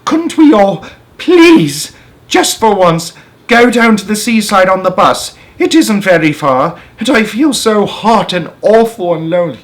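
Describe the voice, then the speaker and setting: A man's voice: sits high, big pitch swings, measured pace, pitched above the performer's own voice. A man reading aloud, voicing a small, frightened boy who pleads. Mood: pleading. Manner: pleading, whiny.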